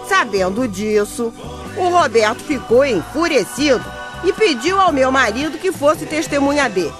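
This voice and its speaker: High-voiced, wry Brazilian wife narrating. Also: female voice, expressive, moderate pace. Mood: wry storytelling. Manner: wry, conversational, addressing listeners. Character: chatty, exasperated bricklayer's wife telling the tale. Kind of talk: radio soap opera narration. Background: music under the voice.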